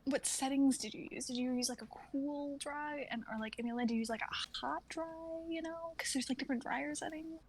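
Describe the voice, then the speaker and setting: A woman's voice: high voice, fairly quick, hardly any pauses. A woman in her twenties chatting casually with friends in a reading group. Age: 20s.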